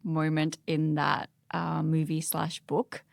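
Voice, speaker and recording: Low-pitched, creaky-voiced woman podcast host. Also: monotone, slow, dry recording. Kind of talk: conversational podcast discussion.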